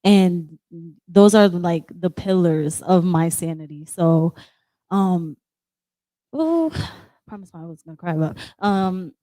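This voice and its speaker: A woman with a high, girlish voice, speaking softly and emotionally as she shares a personal story and reads a poem. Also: lively intonation, slow, no room sound. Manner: soft-spoken, emotional, apologetic. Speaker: poet sharing at an open discussion. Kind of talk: personal sharing and poetry reading.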